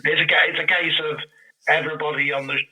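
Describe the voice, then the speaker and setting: A man's voice: fairly high pitch, steady tone, fast talker, sharp tone, low-quality recording. A man speaking as a podcast guest and audio drama creator, chatting casually and rambling through his explanations. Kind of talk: casual interview chat.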